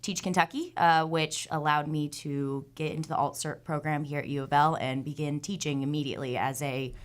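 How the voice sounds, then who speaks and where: Low-voiced woman high school teacher. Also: steady tone. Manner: friendly, a bit quick, some fillers. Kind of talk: studio interview.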